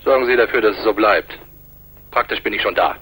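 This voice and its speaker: An actor playing a brusque, sarcastic homicide inspector in a radio play, heard through a telephone. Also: male voice, lowish voice, fairly quick, slightly rough. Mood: brusque, urgent. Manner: sardonic, forceful. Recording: noisy telephone line effect.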